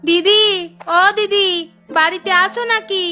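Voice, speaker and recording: Woman acting a high-voiced, sharp-tongued village wife. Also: fairly even intonation, unhurried, faint music behind, full character voice. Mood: friendly. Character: sharp-tongued but caring younger village wife and mother. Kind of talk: cartoon voice acting.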